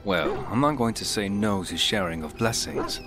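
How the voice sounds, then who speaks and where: An actor voicing a steady, friendly fantasy hero in a video game, in a plain, understated manner. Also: male voice, on the low side, normal speed, close to an everyday voice. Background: music.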